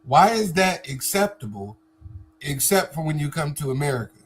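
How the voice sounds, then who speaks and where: A man's voice: a little high, very animated, moderate pace. A man co-hosting a live stream talk, arguing assertively with rhetorical questions and repeated starts. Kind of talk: casual debate chat.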